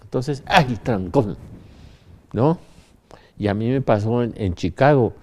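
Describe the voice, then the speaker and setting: A man's voice: medium pitch, lively intonation, a little slow. An older man with a Colombian accent giving an informal religious talk in an anecdotal, emphatic manner, often asking rhetorical questions. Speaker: religious speaker.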